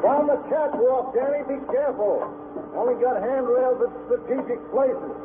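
Bitter blue-collar killer, vintage radio drama, high voice, wry folksy menace, heavy background noise. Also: male voice, moderate pace. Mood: folksy, guarded. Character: cornered male power plant worker turned wry, bitter killer. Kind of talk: 1950s radio crime drama acting. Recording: old tinny radio recording, heavy noise.

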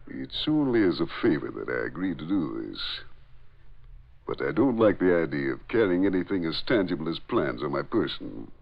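An actor playing a shady international go-between, guarded, urbane and touchy, in 1940s radio drama style. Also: male voice, a bass voice, big pitch swings, normal speed, vocal fry, full character voice. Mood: defensive. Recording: dull old radio recording.

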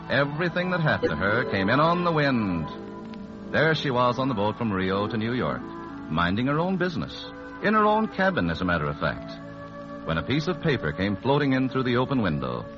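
A man's voice: medium pitch, very animated, normal speed. A man narrating as a 1940s radio announcer, with theatrical storytelling delivery. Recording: muffled old radio broadcast. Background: loud music.

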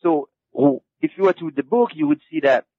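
A man, an author being interviewed, who answers haltingly and restarts his phrases again and again. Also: on the high side, expressive, dry recording. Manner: halting, with many repeated restarts. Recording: dull lo-fi dry recording.

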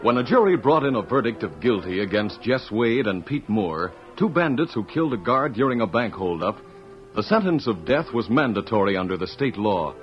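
Male announcer, American, theatrical and emphatic, lively pitch, dull old radio sound. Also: moderate pace. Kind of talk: dramatic old-time radio narration. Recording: muffled vintage radio recording with music.

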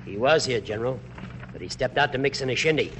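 Casting: man playing folksy rank-and-file soldier, brash, urgent, rapid delivery, clearly noisy vintage radio. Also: mid-range voice, expressive, a bit bright, close to an everyday voice. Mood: concerned. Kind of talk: 1940s-50s radio drama acting. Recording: noisy old radio recording.

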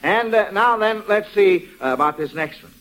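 A man's voice: high voice, lively intonation, moderate pace, music in the background. An American man hosting a 1940s radio quiz show for children in a folksy, avuncular manner. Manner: folksy, avuncular, addresses children as kids and son.

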